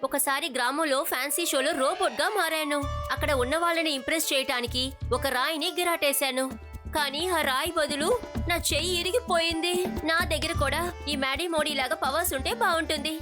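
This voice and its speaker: A woman's voice: high voice, a little fast, close to an everyday voice. A woman voicing a chatty, boastful, comical child in a cartoon. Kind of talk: cartoon dub acting. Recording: music under the voice.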